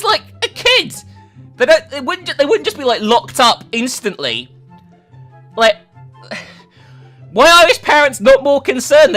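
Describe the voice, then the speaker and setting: An online host giving a dramatic reading of a horror story, with animated, sweary commentary. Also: mid-range voice. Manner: animated, sweary, incredulous in asides.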